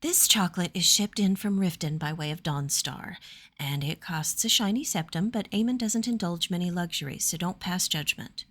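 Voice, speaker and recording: A woman reads the part of a young noblewoman in a fantasy storybook. Her voice is soft and earnest, lifted a little in pitch, with a gentle, worried and principled temper. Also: normal speed. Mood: bright, explanatory.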